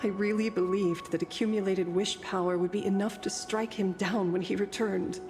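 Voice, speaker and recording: An actress playing a solemn, regretful thousand-year-old female guardian in a video game, measured and formal. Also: mid-range voice, fairly even intonation, natural voice. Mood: remorseful. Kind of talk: dramatic video game acting. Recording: hall-like reverb. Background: music.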